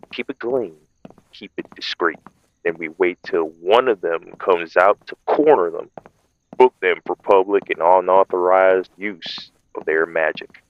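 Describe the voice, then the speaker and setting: A man playing a calculating, dutiful vampire hunter in an urban fantasy audio roleplay, measured and authoritative, as if briefing a team. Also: deep voice, muffled recording.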